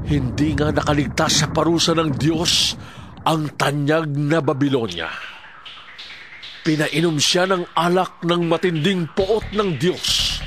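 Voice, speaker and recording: A man's voice: on the high side, heavy background noise. A man with a Filipino accent giving solemn, emphatic dramatic narration of scripture. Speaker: Bible narrator.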